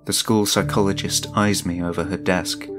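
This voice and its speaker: A man's voice: low-pitched, steady tone, normal speed, over music. A man reading a scripted first-person horror story as its narrator, in the role of a sociopathic father. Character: sociopathic father telling his own story.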